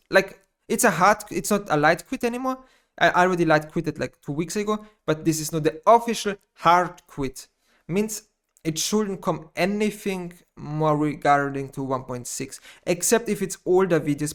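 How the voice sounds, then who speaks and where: A man speaking English with a German accent, his voice sitting a little high for a man. He talks off the cuff in a personal monologue, rambling, stumbling over words and repeating himself as he goes. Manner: rambling, halting, repeats phrases, frequent restarts. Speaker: gaming YouTuber.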